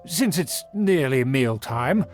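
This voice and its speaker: A man's voice: mid-range voice, lively intonation, slow, darkish tone, soft background music. An actor playing a haughty, proud nobleman in a video game, speaking in pompous, formal, old-fashioned phrases. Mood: savoring, pompous. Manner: pompous, formal, archaic diction. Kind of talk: video game character dialogue.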